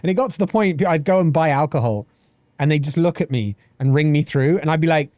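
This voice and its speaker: A man's voice: fairly high pitch, brisk, no room sound, studio quality. A British man in his thirties chatting casually on a game live stream, swearing freely and reading chat aloud. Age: 30s. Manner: animated, swearing, reads chat aloud and laughs often.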